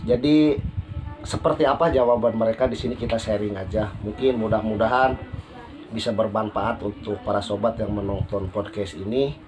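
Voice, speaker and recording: A man's voice: fairly low pitch, steady tone, normal speed, darkish tone, soft background music. A man hosting a casual podcast interview in a polite manner, recorded as an amateur. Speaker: podcast host and beginner YouTuber. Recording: noisy amateur phone recording.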